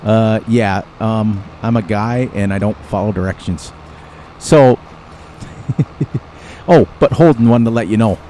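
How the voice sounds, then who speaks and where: A man's voice: fairly low pitch, takes it slowly, pauses often, dark tone, slight background noise. A man hosting a farming game channel, chatting casually and rambling from one thing to the next with frequent chuckles. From United States. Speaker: gaming channel host. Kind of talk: casual gameplay commentary.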